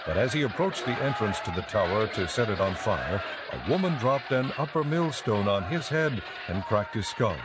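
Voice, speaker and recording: A man narrating a dramatized audio Bible in a measured, clearly enunciated storytelling style. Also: fairly low pitch, expressive, very noisy.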